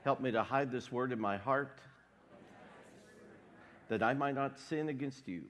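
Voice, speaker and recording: Congested pastor in a reverberant hall. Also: male voice, mid-range voice, a little slow. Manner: wry, deadpan humor. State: congested with a cold. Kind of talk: sermon.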